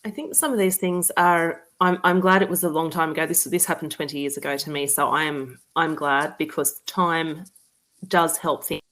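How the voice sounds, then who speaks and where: A woman in her forties with an Australian accent and a slightly low voice. She speaks as a guest in a casual, candid conversation. Her delivery is wry and joking. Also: steady tone, moderate pace, a bit bright. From New South Wales, Australia. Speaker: interview guest, romance author.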